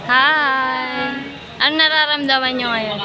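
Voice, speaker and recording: A Filipino woman chats casually in a high voice. Her pace is slow, and a busy crowd can be heard around her. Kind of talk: casual chat on location.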